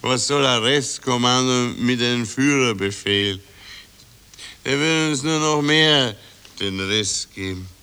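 An actor playing a drunken, fatalistic army captain with comradely gallows humour in a 1960s radio drama. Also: male voice, mid-range voice, takes it slowly, sharp tone, smooth. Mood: tipsy fatalism.

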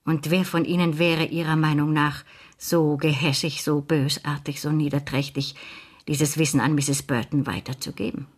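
A composed, shrewd spinster in a radio drama. Her low voice is formal and measured, and she questions people with cool precision. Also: little pitch movement. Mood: probing, pointed. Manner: formal, measured, coolly probing. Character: composed, proper, shrewd older spinster investigating. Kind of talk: German radio play acting.

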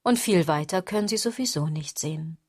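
An actress playing a wry female commentator in a literary radio drama, reciting in a measured, ironic manner. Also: low-pitched, expressive, a little mellow, breathy edge, no room sound, clean studio sound, heavily acted.

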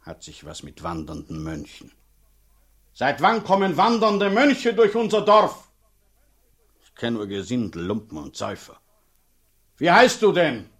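An actor playing a middle-aged, gruff and suspicious village priest in a radio drama, husky, blunt and talkative. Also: male voice, on the high side, huge pitch swings, unhurried, long pauses, fairly bright tone, full character voice.

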